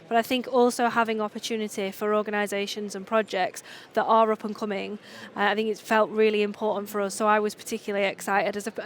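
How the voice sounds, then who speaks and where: A woman hosting an awards session, upbeat and enthusiastic, often restarting her sentences. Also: medium pitch. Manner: upbeat, enthusiastic, self-interrupting. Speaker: event host.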